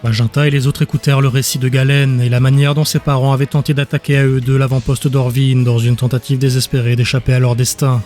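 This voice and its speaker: A man's voice: medium pitch, flat delivery, fast talker, few pauses, a little mellow, faint music behind. A man reading scripted dramatic fantasy narration as an audiobook narrator.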